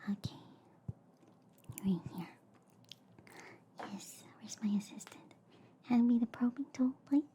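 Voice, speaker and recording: Female performer as gentle alien girl medic, ASMR roleplay, whispered, mellow and unhurried, cheerfully silly, faint background noise. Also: fairly high pitch. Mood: playfully reassuring. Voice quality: breathy, whispery. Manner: slow, hushed, soothing, repeats phrases softly.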